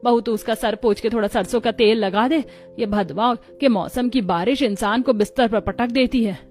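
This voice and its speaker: A woman's voice: fairly high pitch, moderate pace, a little mellow, faint music behind, lightly acted. A woman voicing an anxious, caring elderly grandmother in a cartoon moral story, fussing and giving instructions. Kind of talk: Hindi animated moral story acting.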